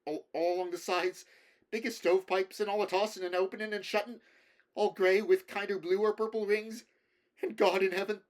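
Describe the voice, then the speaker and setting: A man's voice: high-pitched, voice pushed up, lightly acted. A man voicing a terrified rustic villager in a horror story reading, stammering and babbling frantically in dialect. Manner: shrieking, stammering, frantic rural dialect speech.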